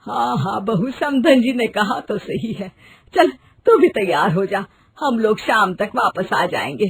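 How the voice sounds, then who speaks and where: A woman's voice: medium pitch, animated, measured pace. A woman voicing a fussy, scolding older mother-in-law with a dramatic way of talking.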